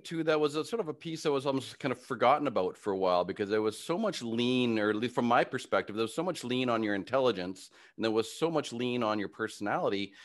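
Middle-aged male host, enthusiastic and encouraging, normal pitch, studio mic on a call. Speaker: podcast host. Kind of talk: casual interview talk. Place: home studio with brick wall. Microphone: studio mic on video call.

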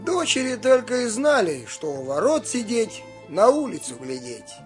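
A man narrating a folk fairy tale in an expressive, singsong storytelling manner. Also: high voice, normal speed, faint music behind.

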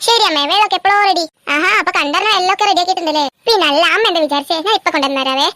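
A woman's voice: extremely high pitch, racing, pushed and bright. A woman with a Kerala Malayalam accent voicing a girl in a homemade comedy cartoon. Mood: chatty.

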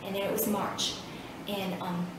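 A woman in her fifties, from Vietnam and a native Vietnamese speaker, telling her story in an oral history interview, hesitant and reflective with many pauses. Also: medium pitch, little pitch movement. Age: 50s. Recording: echoey room, distant mic, background noise.

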